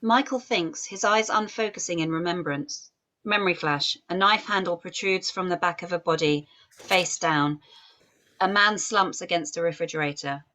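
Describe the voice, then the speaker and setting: A woman's voice: fairly low pitch, moderate pace, sharp tone. A woman narrating a screenplay, reading its stage directions aloud. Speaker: script narrator.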